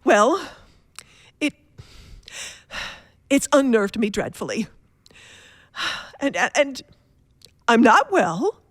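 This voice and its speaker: An actress playing a nervous, complaining invalid wife in a radio play, haughty, fretful and insistent. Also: female voice, fairly high pitch, big pitch swings, extremely slow, long pauses, dry recording, clean studio sound, heavily acted. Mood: anxious, fretful.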